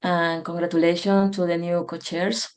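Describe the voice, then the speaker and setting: Woman from ecuador on a video call, lowish voice, kinda hesitant, lots of ums. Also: little pitch movement, normal speed, a little mellow. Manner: hesitant, with many fillers. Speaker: new board member, online. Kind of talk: brief self-introduction.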